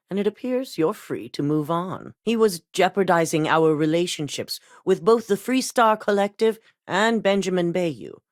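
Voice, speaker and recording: A stern female executive giving orders to her operatives, a cold and commanding boss. Her delivery is curt and businesslike, with a pitch that rises and falls expressively. The voice sits close and dry. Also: a little mellow, dry recording. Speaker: corporate executive. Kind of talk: video game dialogue.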